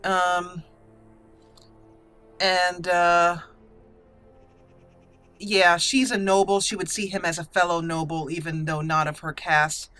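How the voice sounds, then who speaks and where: A woman gamer with a bright, clear-cut tone narrates her roleplay playthrough casually. She thinks aloud hesitantly, with game music behind her. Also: fairly low pitch, flat delivery, slow pace, pauses often.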